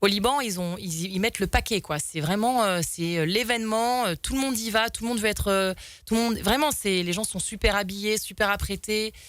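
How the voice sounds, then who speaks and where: A woman's voice: medium pitch, fairly quick, fairly bright tone, no room sound. A young woman guest telling stories in a talk show, rambling with many fillers and restarts. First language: French. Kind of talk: casual storytelling in an interview.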